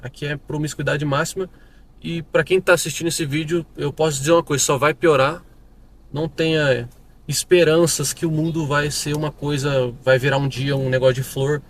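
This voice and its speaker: A man's voice: a little high, steady tone, normal speed. A young man with a São Paulo interior accent, telling his conversion testimony in a rambling, casual way, recorded outdoors on a city street. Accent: São Paulo interior Brazilian Portuguese. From interior of São Paulo state, Brazil. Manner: rambling, many fillers and restarts. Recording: outdoor street with passing traffic.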